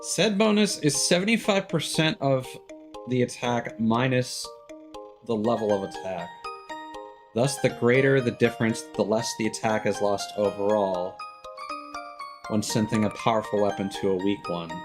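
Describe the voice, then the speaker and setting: A man's voice: fairly low pitch, moderate pace. A man giving casual live gameplay commentary as a streamer, thinking aloud in an analytical way. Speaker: game streamer. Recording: loud game music behind voice. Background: video game music.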